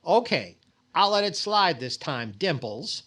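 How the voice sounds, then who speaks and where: Man playing a fussy, high-pitched radio comedy star. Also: big pitch swings, a little slow, fairly bright tone, slightly rough, heavily acted. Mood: exasperated. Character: fussy, vain, mildly exasperated male radio comedy star. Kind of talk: 1940s radio comedy acting.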